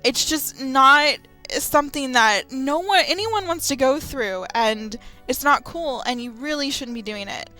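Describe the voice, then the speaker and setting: Young woman, very bright voice, faint background music, earnest, emphatic, halting, casual personal rant. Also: sits high, expressive. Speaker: gamer, video maker.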